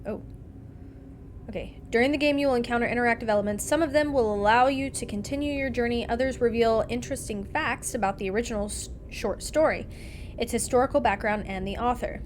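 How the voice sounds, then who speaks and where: A woman's voice: fairly high pitch, a little fast, a little hiss or hum. A woman streamer giving a casual game playthrough, commenting and reading game text aloud. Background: music.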